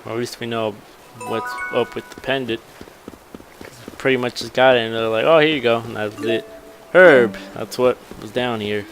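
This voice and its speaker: A man's voice: mid-range voice, flat delivery, normal speed, music in the background, poor audio. A man doing casual gameplay commentary, rambling and muttering to himself as he plays. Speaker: gamer, let's player.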